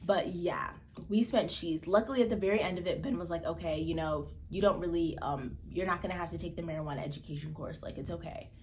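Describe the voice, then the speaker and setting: A woman's voice: fast talker, a little hiss or hum. A woman in her twenties from Malawi, telling a story in a casual, chatty vlog and acting out the quoted lines. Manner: chatty, expressive, acts out quotes with pitch jumps. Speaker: vlogger.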